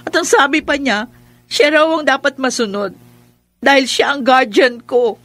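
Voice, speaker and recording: A woman's voice: sits high, measured pace, a bit bright. A woman acting in a radio drama as an earnest, polite young student pleading her case. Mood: troubled. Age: young adult. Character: earnest, respectful young student niece in love.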